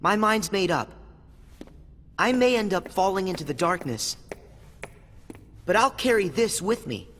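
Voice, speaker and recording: A kindhearted teenage boy hero from a video game. His voice is high and swoops with feeling. He speaks sincerely and with resolve, at an unhurried pace. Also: fairly bright tone, slight background noise, full character voice. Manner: sincere, resolute, youthful delivery. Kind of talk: English video game cutscene acting. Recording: music behind the voice.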